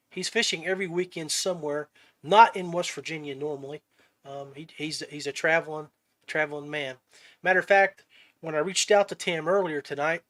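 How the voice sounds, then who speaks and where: A man hosting a podcast in casual interview chat, halting and often repeating words as he goes. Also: sits high, a bit bright. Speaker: podcast host.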